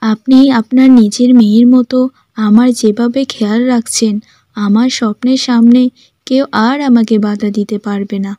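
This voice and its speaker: A woman's voice: a little high, fairly even intonation, moderate pace, warm tone, no echo, dull audio, close to an everyday voice. A woman playing a devoted, determined young daughter-in-law in earnest cartoon dialogue.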